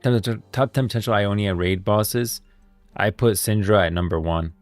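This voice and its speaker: A male livestream host with a low voice. He talks in an informal, think-out-loud way, full of asides. The sound is close and dry, with music playing faintly behind him. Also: moderate pace, no echo. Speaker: streamer and host. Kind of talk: casual livestream chat about game lore. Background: background music.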